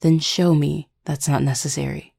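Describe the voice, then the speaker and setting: A woman acting in an audio roleplay as a protective werewolf pack leader, firm but caring, with a measured, authoritative manner. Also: sits low, little pitch movement, gravelly, mellow, breathy edge, heavily acted. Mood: stern, caring.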